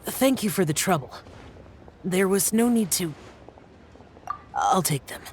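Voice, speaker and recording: A woman's voice: on the low side, sing-song, a little slow, a little mellow, slight background noise. A woman voicing a solemn young male warrior of few words in a video game, terse, subdued and polite. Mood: reserved. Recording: music behind the voice.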